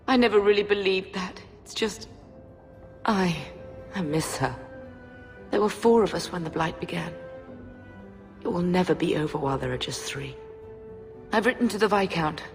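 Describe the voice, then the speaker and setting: Low-voiced grieving mother, played by an actress. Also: close to an everyday voice. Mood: anguished, then subdued resolve. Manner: emotional, halting, sorrowful. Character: grieving middle-aged mother of a fantasy family. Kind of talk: dramatic video game voice acting. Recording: long reverb, music behind. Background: music.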